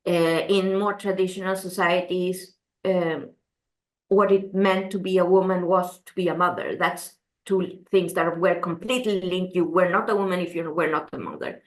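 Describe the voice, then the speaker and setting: A woman's voice: on the low side, steady tone, moderate pace. A woman from Chile, speaking English as an interview guest, answering earnestly with frequent restarts and "you know". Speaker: gender adviser, interview guest. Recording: roomy echo.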